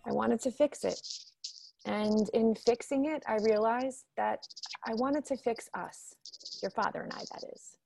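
A woman's voice: fairly high pitch, unhurried, vocal fry, warm tone, a little hiss or hum, low-quality recording. A woman acting a caring, fussing mother of a grown daughter in a radio drama. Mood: gentle, earnest.

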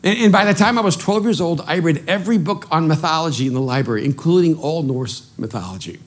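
A middle-aged man from El Salvador whose first language is Spanish, preaching a sermon as a pastor in an animated, self-deprecating way, often repeating words as he restarts. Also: fairly high pitch, fast.

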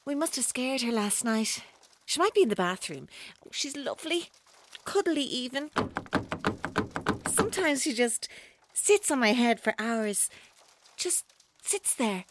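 A woman playing an obsessive, menacing young woman in a radio drama, speaking in a deliberate, coaxing, unsettlingly calm manner. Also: high voice, a little hiss or hum. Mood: coaxing menace. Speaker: actor in an audio drama. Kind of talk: naturalistic radio drama acting.

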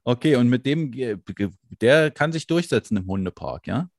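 A man's voice: medium pitch, animated, normal speed, darkish tone, dry recording, studio quality. A man hosting a casual interview chat, joking and laughing. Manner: chatty, joking, frequent laughs and fillers. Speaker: podcast host.

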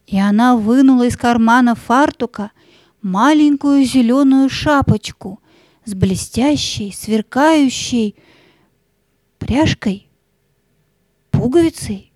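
A woman narrator reading a scripted fairy tale in an expressive, deliberate storytelling manner. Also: fairly high pitch, no echo.

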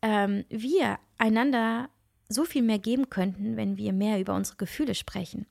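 A woman's voice: mid-range voice, moderate pace, creaky, dry recording, clean studio sound. A middle-aged woman who is nasal from a cold, hosting a reflective solo podcast in an earnest, searching way with frequent restarts. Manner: earnest, searching, many restarts and soft asides. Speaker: podcast host and coach.

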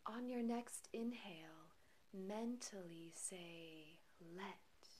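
A woman guides a meditation at a very slow pace. Her medium-pitched voice is soft and breathy. She speaks gently and calmly, leaving long pauses between short phrases. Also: a little hiss or hum. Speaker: meditation guide and podcast host.

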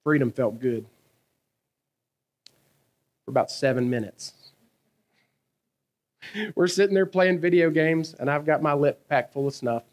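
Animated preacher in his thirties. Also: on the high side, measured pace, pauses often. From American South. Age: 30s. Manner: animated, conversational, asks the crowd questions. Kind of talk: sermon with personal storytelling.

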